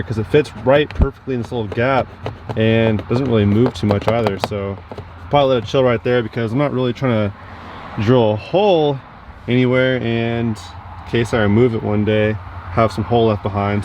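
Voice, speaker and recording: A man's voice: medium pitch, a little fast. A man vlogging casually to his viewers, talking in an informal, slangy, upbeat way. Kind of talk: casual vlog chat to viewers. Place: in and around a truck. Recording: steady vehicle and outdoor background noise.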